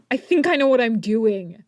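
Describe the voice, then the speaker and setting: A woman's voice: a little high, heavy background noise. A woman playing a confident, flippant teenage inventor superhero in an audio drama, breezy and wry. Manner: breezy, self-assured, wry.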